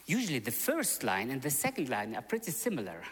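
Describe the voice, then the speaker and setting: A man preaches in a chapel, his voice bright and lively, swinging high and dropping low. He tells stories animatedly and sometimes stops to hunt for an English word. The sound rings with the long reverb of a large hall. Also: fairly quick, breathy edge. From born in Russia, grew up in Germany. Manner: animated storytelling, sudden loud rises and soft drops, searches for words. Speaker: seminary chapel speaker. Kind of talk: sermon.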